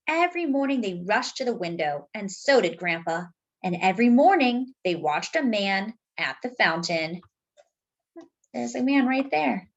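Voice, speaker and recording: A woman reads a children's picture book aloud with a highish voice that rises and falls a lot. She speaks to young listeners directly and expressively, leaving dramatic pauses as a storytime host would. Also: lo-fi sound.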